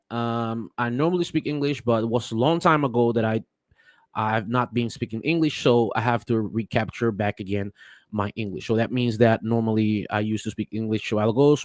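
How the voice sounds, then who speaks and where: A man whose first languages are Papiamento and Spanish, explaining crypto trades in a halting way with many restarts as a vlogger. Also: mid-range voice, no room sound, clean studio sound. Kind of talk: casual screen-share talk.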